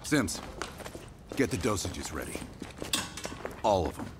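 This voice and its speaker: A gruff, low-voiced commanding spy chief. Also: male voice, big pitch swings, slow, background noise, heavily acted. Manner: curt, commanding, pressing. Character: hardened male CIA squad leader, commanding. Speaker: team leader. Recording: game cutscene with loud music behind.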